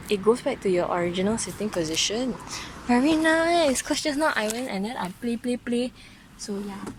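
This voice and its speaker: A woman's voice: on the high side, a little hiss or hum, rough recording. Chatty young woman reviewing a car. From Malaysia. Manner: chatty and excitable, with bursts of delight. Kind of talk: casual car walkaround review. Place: in and around a car.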